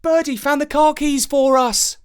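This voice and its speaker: A narrator voicing an eager, excitable young boy in a children's storybook. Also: high voice, a little slow, voice pushed up.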